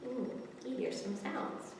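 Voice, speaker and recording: A woman's voice: medium pitch, slow pace, vocal fry. A woman in her thirties hosting a children's story time, reading expressively with casual asides. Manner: expressive, speaking to young children. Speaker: children's librarian reading picture books. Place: indoor room before a forest backdrop. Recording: echoey room, distant mic, faint noise.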